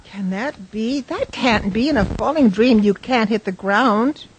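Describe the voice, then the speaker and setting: A woman's voice: a little high, unhurried, a little hiss or hum, lightly acted. An actress playing an anxious, protective woman who offers comfort in a radio drama. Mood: concerned, puzzled.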